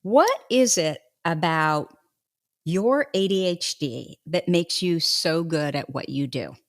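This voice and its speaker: A woman's voice: sits low, lively intonation, slow pace. A woman hosting a casual podcast interview, enthusiastic and affirming, laughing along with her guest. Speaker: podcast host.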